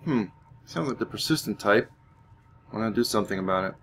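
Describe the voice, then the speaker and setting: A man's voice: on the low side, expressive, brisk, a bit bright. A man giving gameplay commentary as roleplay, musing aloud in character. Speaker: gamer playing in character.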